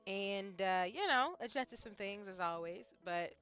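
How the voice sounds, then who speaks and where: A woman streamer chatting casually with her viewers, a little hoarse, upbeat and rambling with fillers. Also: medium pitch, expressive, unhurried, fairly bright tone. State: slightly hoarse. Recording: faint music behind.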